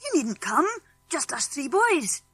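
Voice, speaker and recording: A woman's voice: sits high, big pitch swings, a little slow, a bit bright, no room sound, faint music behind, heavily acted. A woman voicing a friendly, outspoken farm boy with a British accent in a children's audiobook. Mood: excited. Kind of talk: children's audiobook character acting.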